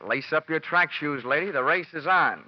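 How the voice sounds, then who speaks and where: An actor playing a cocky, wisecracking insurance investigator in an old radio detective drama, with a sardonic, slangy delivery. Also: male voice, on the high side, lively intonation, normal speed, slight background noise, lightly acted. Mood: sardonic, guarded. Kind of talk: 1940s-50s radio detective drama acting. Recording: dull vintage radio recording, music behind.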